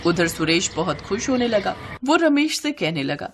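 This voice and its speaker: Animated female story narrator. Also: medium pitch, moderate pace, a little hiss or hum.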